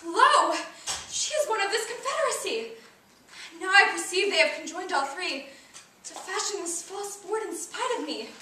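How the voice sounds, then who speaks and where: A woman plays a young Shakespearean heroine, indignant and wounded, on stage. Her voice is very high and bright as she declaims formal verse with emphasis. It is heard from some distance in an echoey room. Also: lively intonation, measured pace, a little hiss or hum, full character voice. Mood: outraged, accusing. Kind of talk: classical stage monologue. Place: indoor performance space, recorded from afar.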